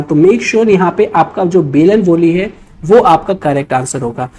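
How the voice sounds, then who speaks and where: An Indian woman teacher with a soft-edged, mellow voice at a middling pitch. She explains exam questions in an instructional way, adding short check-in tags as she goes. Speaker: history teacher for exam preparation. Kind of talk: explanatory lecture working through quiz questions.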